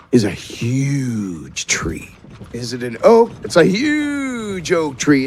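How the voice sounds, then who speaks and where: A man's voice: on the high side, slight background noise. A man working as game master, narrating a tabletop fantasy game in improvised, conversational descriptions. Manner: conversational, feeling his way through descriptions. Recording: music under the voice.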